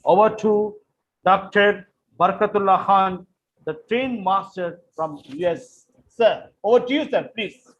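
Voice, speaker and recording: A man's voice: high-pitched, unhurried, fairly bright tone. A man hosting an event, reading out a formal, list-like introduction of the guest speaker and politely handing over to him. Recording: dull recording with faint background noise.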